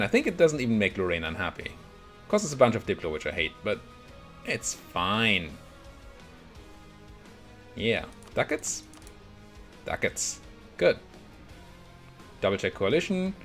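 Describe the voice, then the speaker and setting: A man giving casual let's play commentary on a strategy game, thinking aloud and muttering to himself as he goes. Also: lowish voice. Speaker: video game streamer playing a strategy game.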